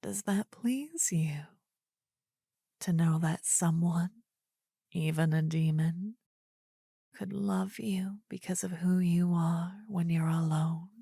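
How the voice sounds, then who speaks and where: An adoring, possessive demon queen, acted by a woman, whispers at close range in a fantasy ASMR roleplay. Her low voice is husky and breathy, with a gravelly creak. She speaks intimately and soothingly in formal phrases, tender with a menacing edge. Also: steady tone, takes it slowly, long pauses, mellow, dry recording, full character voice. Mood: tender, lovestruck. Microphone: close ASMR microphone, dry.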